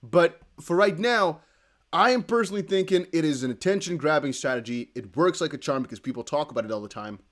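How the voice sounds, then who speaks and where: A young man with a Dutch accent, hosting a crypto livestream in casual chat, reading viewer comments aloud. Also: a little high. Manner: chatty, animated, reads viewer comments aloud with quick laughs.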